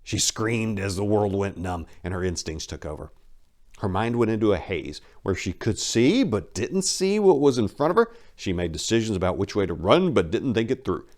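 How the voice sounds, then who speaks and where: Deep-voiced man narrating dramatically. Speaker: host and narrator. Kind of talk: dramatic scripted story narration.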